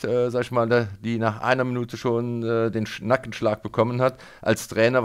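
A man's voice: mid-range voice, smooth. A man working as a sports radio interviewer, putting questions to a guest after a match.

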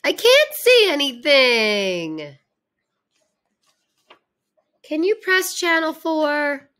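A woman puts on a little storybook tooth creature with a very high, babyish voice. It whines and exclaims playfully, with pitch that jumps around freely. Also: huge pitch swings, drawn-out words, fairly bright tone, heavily acted. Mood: pleading. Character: small childlike milk-tooth creature, whiny and playful. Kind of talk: storybook read-aloud character voice.

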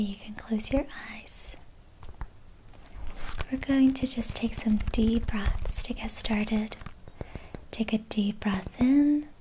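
Woman, whispering meditation guide, very slow and unhurried, faint hiss behind. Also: fairly high pitch, mellow, low-quality recording. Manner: whispered throughout, slow and soothing.

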